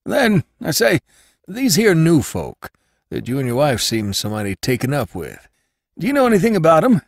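A man's voice: on the high side, huge pitch swings, moderate pace, vocal fry, no echo, clean studio sound, voice pushed up, heavily acted. A man putting on a nosy, folksy old landlord. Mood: prying. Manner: folksy rustic dialect, prying. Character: suspicious old male boarding-house keeper, nosy, gossipy.

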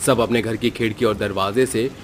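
A man's voice: fairly low pitch, steady tone, normal speed, background noise. A man giving scripted, dramatic narration as the storyteller of a tale.

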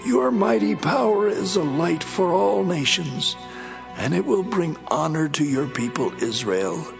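Slow, creaky old devout prophet. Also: male voice, a little high, expressive, over music. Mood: reverent joy. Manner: slow, fervent, declamatory. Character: devout elderly prophet, moved and reverent, solemnly blessing. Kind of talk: Bible audio drama acting.

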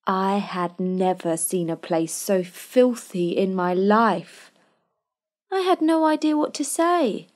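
A woman's voice: medium pitch, expressive, slow. A young woman playing a sardonic narrator of a comic fairytale, wry and knowing in her scripted narration. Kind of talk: scripted storybook-style narration. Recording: music bed under voice-over.

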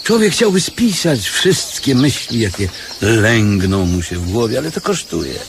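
An actor playing a fallen professor turned beggar posing as blind, philosophizing in a lofty, wordy way in a radio drama. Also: male voice, mid-range voice, very animated, unhurried, creaky, noisy background. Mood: wry.

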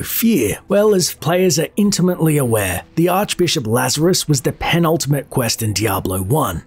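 A man's voice: a little high, breathy edge. A man delivering scripted narration as the narrator of a video essay.

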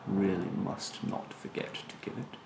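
A young man playing a rude, snobbish facial therapist in a soft-spoken, often whispered ASMR roleplay, with a haughty, halting delivery. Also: low voice, vocal fry, roomy sound, noisy background. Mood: haughty, fussy. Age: young adult. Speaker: ASMR performer. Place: small bedroom, indoors. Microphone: close to the microphone.

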